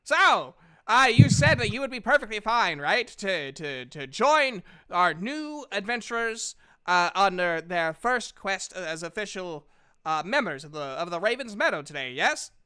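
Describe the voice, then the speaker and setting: Excitable robot with a faulty personality chip, high and crisp, exclamatory, tabletop roleplay played by a man. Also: unhurried, full character voice. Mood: bubbly, gushing. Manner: exclamatory, effusive, repeats himself. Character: peppy, gushing Warforged robot with malfunctioning personality chip. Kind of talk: tabletop roleplay character acting.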